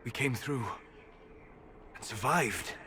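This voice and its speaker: A male actor plays a calm, learned male mage in a fantasy game. He speaks formally and deliberately in a mid-range voice, with clear ambient noise behind him. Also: mid-range voice, natural voice. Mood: hushed wonder. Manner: measured, erudite, formal. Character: calm scholarly male mage, fascinated by the dream world.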